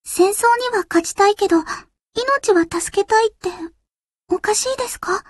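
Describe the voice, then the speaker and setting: A woman voicing a timid, gentle little warship girl for an anime game, with a childlike, hesitant delivery. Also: very high-pitched, moderate pace, dry recording, clean studio sound. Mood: wistful, gentle. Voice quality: girlish, childlike. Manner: hesitant, soft-hearted, cutesy. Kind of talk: anime game character voice lines.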